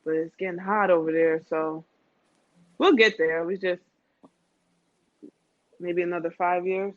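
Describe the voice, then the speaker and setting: A woman's voice: lowish voice, fairly even intonation, a little slow. A woman in a casual, conversational travel chat with a friend, often repeating phrases for emphasis. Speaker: podcast speaker. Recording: dull lo-fi sound.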